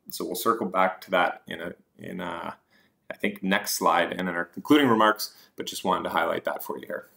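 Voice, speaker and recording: A man's voice: deep voice, gravelly, fairly bright tone. A man presenting investing slides as a host, explaining points informally with hesitant asides.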